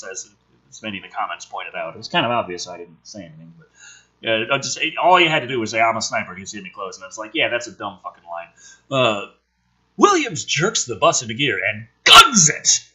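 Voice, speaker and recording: A man's voice: lowish voice, big pitch swings, fairly quick, sharp tone, slightly rough, low-quality recording. A man reading a screenplay aloud in a theatrical, emphatic way, dropping into casual, sweary, sardonic commentary. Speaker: host reading and commenting on a film script.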